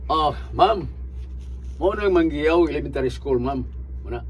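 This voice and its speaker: A man's voice: a little high, lively intonation, normal speed, a little hiss or hum, lightly acted, poor audio. A man playing a friendly rural driver in a radio drama, folksy and full of cautioning advice. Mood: helpful. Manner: folksy, advising.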